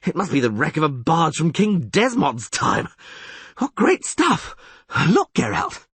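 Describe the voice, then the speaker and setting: A man voicing an excitable, boastful young male bard who exclaims loudly and breathlessly in an audiobook. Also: fairly high pitch, measured pace, husky, no room sound, voice pushed up, heavily acted. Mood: excited. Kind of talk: audiobook character voice.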